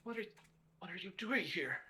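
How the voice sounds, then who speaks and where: Man voicing an earnest young orc boy, bright and tense. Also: medium pitch, normal speed. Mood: frightened. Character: young orc son, earnest, scared but defiant.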